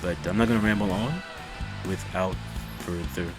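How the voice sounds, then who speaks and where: Man, low voice, rambling, chatty, self-deprecating reaction host. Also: normal speed, music in the background. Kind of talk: casual rambling commentary.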